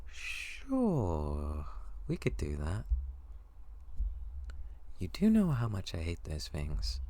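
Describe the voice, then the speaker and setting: A man playing an excitable, affectionate young best friend with a secret crush in an ASMR roleplay, teasing and bashful. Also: sits low, voice pushed up, full character voice. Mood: reluctant, nervous. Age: young adult.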